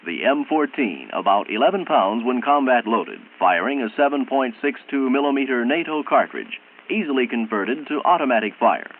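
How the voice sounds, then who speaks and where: An American man narrating a 1960s military training film in a formal, measured, instructional manner, on an old film soundtrack. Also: medium pitch, very animated, narrow, tinny audio, a little hiss or hum.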